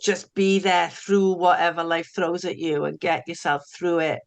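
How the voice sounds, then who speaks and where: A woman's voice: fairly low pitch, fairly even intonation, moderate pace, gravelly. A middle-aged woman from South Wales with a Welsh accent, a recovery coach chatting as a podcast guest who often repeats words as she starts a thought. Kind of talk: casual interview chat.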